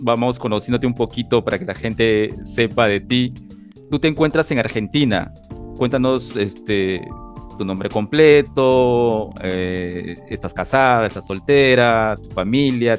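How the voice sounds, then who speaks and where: A man hosting a podcast interview, greeting listeners eagerly and sometimes repeating words as he goes. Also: mid-range voice. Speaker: podcast host.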